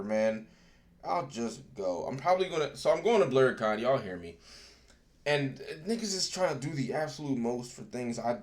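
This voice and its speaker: A man hosting his own livestream, chatting casually in a husky voice, laid-back and profane, his microphone distance shifting. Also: medium pitch. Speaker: streamer and show host. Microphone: desk microphone, distance varies.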